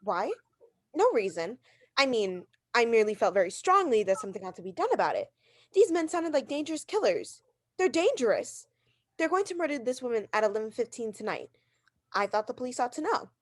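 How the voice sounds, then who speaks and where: Radio mystery drama, with an actress as a haughty, neurotic invalid wife. Her voice is high and swings widely as she demands and insists. She is agitated and imperious throughout. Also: big pitch swings, a little fast. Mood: anxious, insistent.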